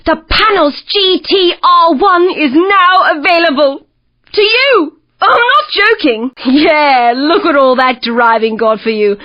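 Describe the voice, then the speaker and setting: A woman voicing a perky, excitable British race announcer in a video game, chirpy and exclamatory. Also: sits high, unhurried. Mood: excited. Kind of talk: scripted video game voice lines. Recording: muffled lo-fi game audio.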